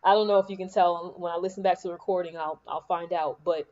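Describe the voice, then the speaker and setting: A woman with a soothing, calming, mellow voice gives a reflective spiritual talk on her podcast, repeating key lines for emphasis. She records on a laptop microphone in a room at home with the air conditioning running. The sound is slightly dull and lo-fi. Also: lowish voice, quick pace. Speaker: podcast host and spiritual coach. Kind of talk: spiritual motivational monologue.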